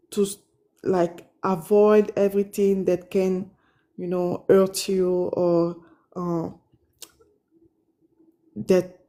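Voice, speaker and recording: A woman's voice: fairly low pitch, dark tone. A woman caller with an Italian and French accent, a non-native English speaker, hesitating and searching for words as she explains a hard situation. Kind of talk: caller on a live stream.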